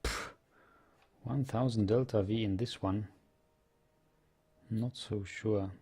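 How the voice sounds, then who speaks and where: A young man with a Czech accent, a native Czech speaker, chatting as he streams a game, explaining in halting bits with many restarts. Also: lowish voice, steady tone, a little mellow, slightly rough. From Czech Republic. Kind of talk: live stream gameplay chat. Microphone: headset.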